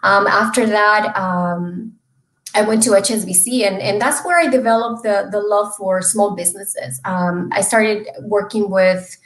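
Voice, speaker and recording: A woman's voice: medium pitch, steady tone, measured pace, fairly bright tone. Earnest woman from Mexico on a video call. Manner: earnest, with many fillers and restarts. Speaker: guest, small business loan expert. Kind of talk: casual interview chat. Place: home room. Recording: roomy video call audio.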